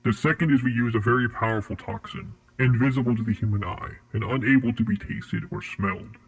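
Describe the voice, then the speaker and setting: A man playing a cold, formal interrogator at a secret facility in a horror audio drama, with a husky, measured delivery. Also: medium pitch, very animated, exaggerated voice, rough recording.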